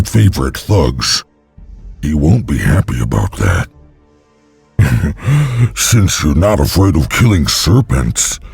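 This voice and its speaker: A brutish slave overseer from a fantasy game, sneering and gloating. The voice is very raspy and slow, and it swings widely between taunts. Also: male voice, sits low, a little mellow, exaggerated voice. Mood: mocking. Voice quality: raspy, gravelly. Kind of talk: video game villain acting.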